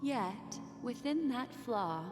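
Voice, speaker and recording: A woman playing a mysterious, soothing and teasing storyteller in a fantasy game, with a deliberate, hushed bedtime-story delivery. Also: on the high side, expressive. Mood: earnest, teasing. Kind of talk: scripted game narration. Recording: long reverb, music behind. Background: game music.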